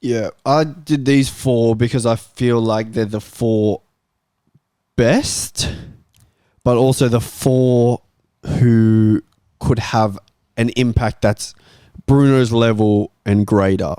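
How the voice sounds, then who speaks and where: A man's voice: mid-range voice, steady tone, slow, warm tone, clear, resonant tone, dry recording, clean studio sound. A man hosting a casual football fan panel, speaking in an informal conversational way. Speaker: host of a football fan talk show.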